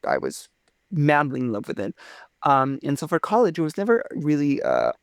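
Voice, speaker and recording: A young man from Romania talks through his story in an interview, warm with enthusiasm and fond of theatrical turns of phrase. His voice has a noticeable gravelly fry and a mid-range pitch. The sound is close and dry. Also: wildly swooping pitch, moderate pace, no room sound. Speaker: physicist guest.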